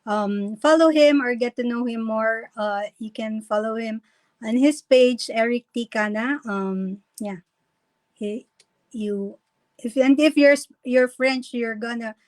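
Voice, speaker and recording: A woman hosting a live online interview, welcoming and a little halting with fillers. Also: fairly high pitch, rough recording. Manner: warm welcoming, halting with fillers, nervous at first. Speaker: host.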